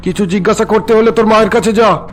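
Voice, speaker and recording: A stern middle-aged father from a cartoon, acted by a man, pitched high for a male voice. He is domineering and traditional and takes no argument. Faint music runs behind him. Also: steady tone, quick pace, close to an everyday voice. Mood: indignant. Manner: commanding, threatening, brooks no argument.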